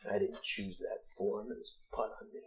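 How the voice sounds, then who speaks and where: Man as evil orc captive, tabletop villain: low, hushed, sneering mutter. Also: flat delivery, moderate pace, echoey room, natural voice, low-quality recording. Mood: sullen.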